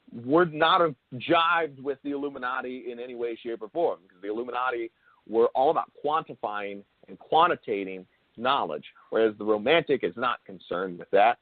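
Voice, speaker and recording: Male interview host, mid-pitched, phone-quality audio. Unscripted explainer, halting, self-correcting, emphatic on key words. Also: dry recording. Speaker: show host interviewing a guest. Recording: thin phone-like call audio.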